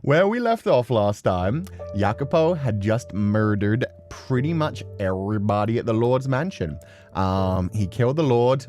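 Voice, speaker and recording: Guy streamer rambling away, lowish voice that swings all over, music playing behind him. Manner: chatty, giggly, expressive. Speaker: livestream host, gaming streamer. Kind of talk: casual rambling stream chat before a game.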